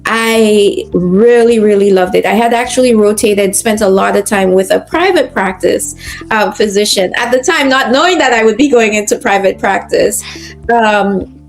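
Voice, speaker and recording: Gravelly-voiced woman doctor, reflective. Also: medium pitch, moderate pace, faint music behind. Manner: reflective, many fillers and restarts. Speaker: physician guest. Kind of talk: conversational interview.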